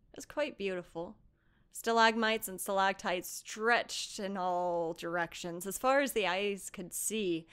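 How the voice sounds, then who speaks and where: A woman narrates a first-person fantasy story as a tabletop player. Her voice is clear and smooth, and she takes her time, keeping a measured, unhurried flow. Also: medium pitch.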